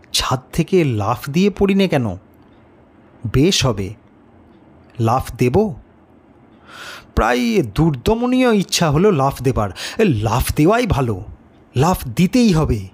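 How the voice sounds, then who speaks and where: Male audiobook narrator for a first-person horror tale: very expressive, swooping intonation, unhurried and dramatic. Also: fairly high pitch.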